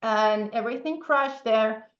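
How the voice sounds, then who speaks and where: A woman with a strong Venezuelan Spanish accent, her voice set a little high. She talks in an easygoing interview manner, tagging her points with "okay?" and laughing easily. The audio is roomy and slightly dull. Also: measured pace. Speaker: podcast guest, entrepreneur. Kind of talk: casual interview talk.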